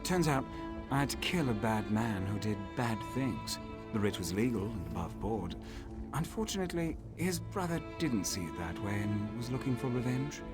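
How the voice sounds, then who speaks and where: An actor playing a cocky, sardonic assassin in a fantasy video game, talking in a wry, casual, sly way. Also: male voice, medium pitch, very animated, normal speed, loud music behind the voice, close to an everyday voice. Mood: wry, matter-of-fact. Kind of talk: video game character acting.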